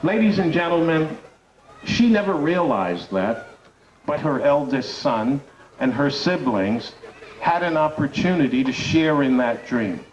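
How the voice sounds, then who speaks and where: Man, radio talk host, rousing public speech, slow deliberate pace, fairly high voice, repetitive emphatic build-ups, dull recording from some distance. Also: lively intonation.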